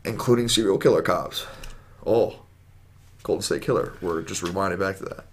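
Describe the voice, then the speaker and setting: A man's voice: deep voice, moderate pace, breathy edge. Rambling, profane man chatting casually. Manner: rambling, profane, heavy use of "like". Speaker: podcast co-host. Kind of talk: casual podcast chat.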